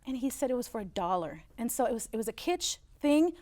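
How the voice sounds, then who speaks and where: A woman's voice: fairly high pitch, big pitch swings, fast talker. German-born woman telling family stories. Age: 50s. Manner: storytelling, warm recollection with frequent "uh" fillers. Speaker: interview guest, business owner. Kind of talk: relaxed interview conversation.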